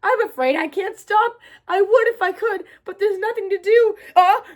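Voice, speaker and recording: A woman's voice: extremely high pitch, normal speed, voice pushed up. A woman voicing an apologetic, easily frightened storybook elephant in a dramatic, exclamatory manner. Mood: sorry, helpless.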